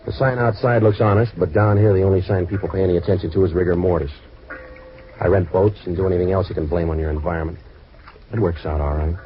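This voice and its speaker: A muffled, hissy old radio drama recording carries a hard-boiled American detective, played by a male actor. He is sardonic and clipped, talking low and fast in deadpan wisecracks. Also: natural voice. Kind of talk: 1940s radio detective acting and narration.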